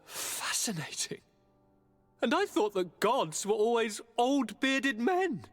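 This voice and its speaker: A man's voice: very high voice, sing-song, takes it slowly, full character voice. An actor playing a charming, eager young nobleman governor in a video game, speaking in a courtly, theatrical and affectionate way. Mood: fascinated, eager. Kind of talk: dramatic video game voice acting. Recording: reverberant hall, music underneath.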